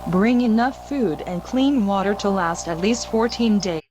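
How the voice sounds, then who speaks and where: A woman playing a stern emergency broadcast announcer in an analog horror video, reading grave warnings in a formal, impersonal, measured way. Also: mid-range voice, a little mellow, soft background music, close to an everyday voice. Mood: instructive.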